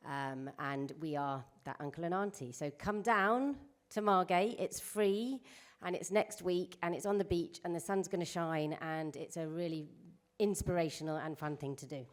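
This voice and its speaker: Low-pitched, chatty woman. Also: normal speed, hardly any pauses. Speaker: festival organiser, panelist. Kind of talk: informal panel self-introduction.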